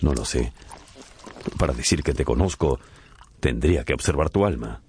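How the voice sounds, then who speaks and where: A man's voice: a bass voice, animated, moderate pace, darkish tone, slight background noise. An actor playing an earnest, anxious painter troubled over his friend's morals, solemn and pleading, in a radio drama. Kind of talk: Spanish-language radio drama acting.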